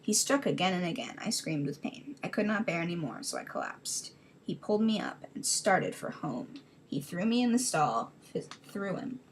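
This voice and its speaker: Animated woman reading a story, slightly noisy recording. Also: lowish voice. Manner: rambling, self-mocking. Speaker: vlogger reading own childhood story aloud. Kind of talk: dramatic reading with casual joking asides.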